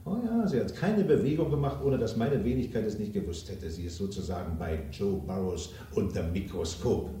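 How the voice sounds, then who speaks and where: A man's voice: on the low side, lively intonation, normal speed, hardly any pauses, warm tone, recorded from a distance, close to an everyday voice. An actor in a German crime radio drama playing a cynical, ambitious self-made businessman, blunt and coarse, with theatrical emphasis. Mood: scheming, determined. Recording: echoey room, off the microphone.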